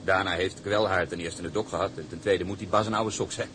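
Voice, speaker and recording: An actor playing an eager, deferential young ship's engineer in a Dutch radio drama, hesitant and apologetic. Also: male voice, low voice, fairly even intonation, fast talker, noisy background, close to an everyday voice. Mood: nervous, gossipy.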